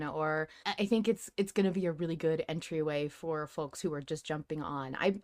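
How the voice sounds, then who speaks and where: A woman hosting a podcast in a casual fan discussion, talking in an animated, excitable way and acting out her reactions. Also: low voice. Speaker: podcast host.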